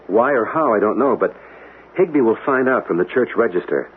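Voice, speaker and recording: An actor playing a courteous, intrigued antiques enthusiast in a radio drama, earnest and somewhat hesitant. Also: male voice, on the low side, lively intonation, brisk, close to an everyday voice. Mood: intrigued, wistful. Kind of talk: old-fashioned radio drama acting. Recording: tinny noisy old radio broadcast.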